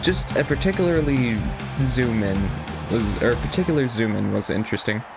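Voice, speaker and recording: A man's voice: mid-range voice, animated, moderate pace, gravelly. A man giving casual, slangy livestream commentary on a game, in a joking, giggly manner. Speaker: game streamer. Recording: game music behind the voice.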